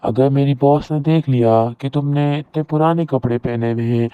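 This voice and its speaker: A man's voice: mid-range voice, moderate pace, clean tone, close to an everyday voice. A man playing a proud, status-conscious young elder son in a cartoon moral story. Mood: stern. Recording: roomy echo, very thin phone-like audio.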